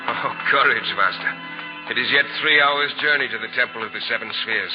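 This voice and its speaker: An actor in a 1940s radio drama playing an earnest, devout Magus on a holy quest, solemn and theatrical. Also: male voice, medium pitch, a little fast, lightly acted. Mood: urgent. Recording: dull vintage radio recording with music.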